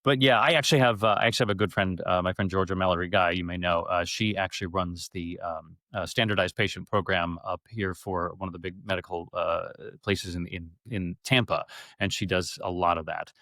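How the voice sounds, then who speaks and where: Low-voiced middle-aged man, rambling interview guest. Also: steady tone, sharp tone, no echo, studio quality. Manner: rambling, halting, repeats words. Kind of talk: conversational interview answers.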